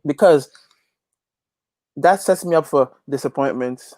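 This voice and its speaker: A man's voice: fairly high pitch, a little slow, a little mellow, no echo. Man chatting, close dry sound. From Guyana. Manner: emphatic, repeats phrases as he builds a point. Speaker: interview guest, gospel reggae artist. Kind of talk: casual interview chat.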